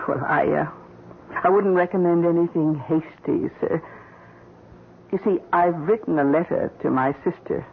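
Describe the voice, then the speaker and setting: A woman playing a shrewd, scheming middle-aged housekeeper in a radio drama, with deliberate, insinuating phrasing. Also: lowish voice, creaky, breathy edge, exaggerated voice. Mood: coolly coaxing. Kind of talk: 1970s radio drama acting. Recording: narrow-band old radio recording, faint hiss.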